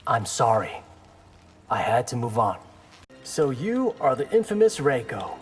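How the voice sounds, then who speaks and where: A man's voice: mid-range voice, sing-song, a little slow, over music. An actor playing a cocky, determined young male warrior in a video game, with a terse, wry and defiant manner. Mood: earnest, determined. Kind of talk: video game hero acting.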